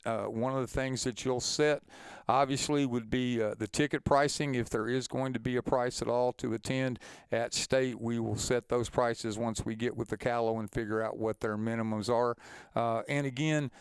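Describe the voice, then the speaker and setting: A man presents an informal instructional webinar. His voice is very smooth and clean at a medium pitch, recorded dry with no room sound. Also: dry recording.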